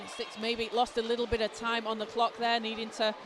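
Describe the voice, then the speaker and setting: A man, hoarse from a cold, giving excited live play-by-play basketball commentary in an indoor arena. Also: very high-pitched, fairly quick. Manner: excited, shouting calls on big plays. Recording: clear arena noise behind.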